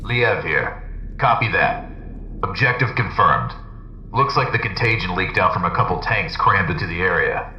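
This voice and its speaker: An actor playing a terse, matter-of-fact special forces agent in a video game, reporting in by radio. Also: male voice, sits low, animated, moderate pace. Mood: terse, focused. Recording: echoey, dull, noisy radio sound.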